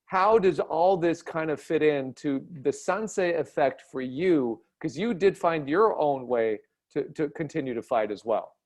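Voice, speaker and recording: A man's voice: fairly high pitch, animated, unhurried, few pauses, darkish tone. Male moderator for a video-call panel, friendly and conversational.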